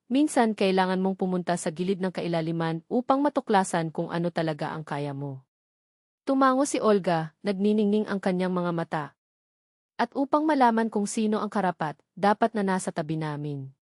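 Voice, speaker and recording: A woman's voice: medium pitch, animated, moderate pace, darkish tone, no echo. Woman narrating a story, very dry sound.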